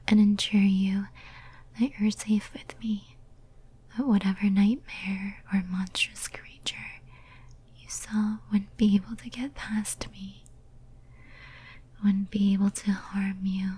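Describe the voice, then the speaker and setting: A woman playing a lonely, devoted, possessive female demon in an ASMR roleplay, whispering tenderly and intimately. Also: mid-range voice. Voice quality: soft breathy whisper. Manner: tender, clingy, intimate, slow and halting.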